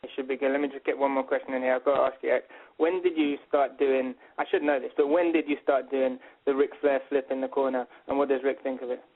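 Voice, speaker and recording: A male reporter speaks fast and informally with a fairly flat intonation. He sounds chatty, heard through a thin telephone connection. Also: medium pitch. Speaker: reporter asking questions. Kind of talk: casual press questioning. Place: phone conference call. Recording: tinny phone line.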